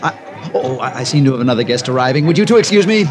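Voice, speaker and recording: An actor playing a breezy, mocking rich party host in a 1950s radio drama. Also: male voice, mid-range voice, expressive, fast talker, close to an everyday voice. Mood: breezy, amused. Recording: old radio recording with crowd behind.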